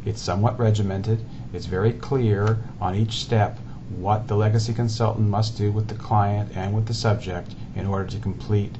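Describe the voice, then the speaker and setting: Earnest man in his forties, lo-fi recording. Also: deep voice. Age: 40s. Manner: earnest, deliberate, stressing lists of words. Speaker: company founder presenting his business. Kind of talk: earnest pitch presentation. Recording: dull lo-fi sound with clear background noise.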